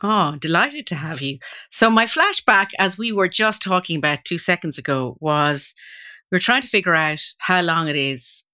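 A woman's voice: fairly low pitch, animated, moderate pace, pushed and bright. A woman hosting a marketing podcast, introducing the show and chatting in a conversational interview style.

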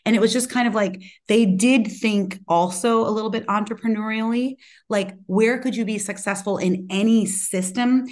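A woman's voice: mid-range voice, steady tone, moderate pace, hardly any pauses. A woman speaking as an interview guest in a reflective, conversational way, self-assured and emphatic with a strong voice. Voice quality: loud, strong voice.

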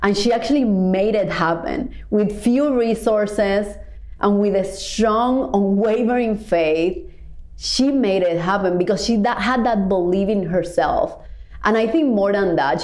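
A woman's voice: medium pitch, a little mellow. Casting: Cuban-born woman life coach, earnest motivational podcast talk, mid-range voice, roomy echo. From Cuba. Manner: earnest, stretches key words, builds in repeated phrases. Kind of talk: reflective motivational monologue.

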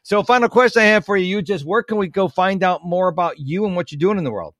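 A man from the United States hosting a podcast, chatting casually and telling animated stories with asides. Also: sits high, fast talker, no echo, studio quality. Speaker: podcast host. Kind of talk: casual interview chat.

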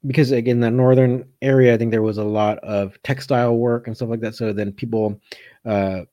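A man's voice: medium pitch, steady tone, brisk, mellow, no room sound. A man hosting a casual live question-and-answer session as a coach, thinking aloud and often rephrasing as he goes. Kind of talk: casual live chat answering viewer comments.

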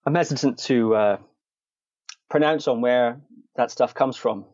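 A man's voice: medium pitch, measured pace, darkish tone, dry recording, lo-fi sound. A man from the Shetland Islands in Scotland telling stories as an interview guest, with dry, wry humour and a hesitant, qualifying way of talking. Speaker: interview guest, meditation and martial arts practitioner. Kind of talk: conversational interview, storytelling.

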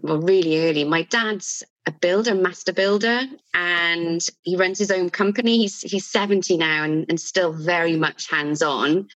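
A woman's voice: on the low side, a bit bright, no echo, highs cut off. A woman from near Cardiff with a South Welsh accent, talking as a guest in a friendly, chatty way with some hesitation. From Wales, near Cardiff. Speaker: guest, chartered building surveyor. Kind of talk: casual podcast interview.

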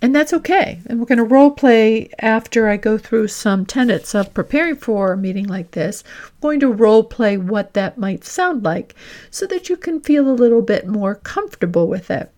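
A woman podcast host and executive coach delivering a scripted, instructional monologue in a deliberate way, speaking directly to the listener. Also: a little high, animated, a little mellow.

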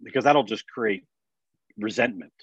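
A man with a lowish voice talks casually as an interview guest. He is candid and reflective about himself. The recording is close and dry, a little dull on top. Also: normal speed, a bit bright, slightly rough, no room sound. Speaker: podcast guest, business executive. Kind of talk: casual personal storytelling in an interview. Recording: dry, slightly dull sound.

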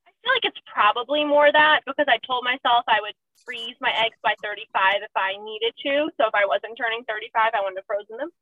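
A woman's voice: a little high, a little fast. A woman in her thirties, a surgical resident, talking candidly and self-mockingly in a casual chat. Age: 30s. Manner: chatty, self-mocking, often laughing. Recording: tinny phone line.

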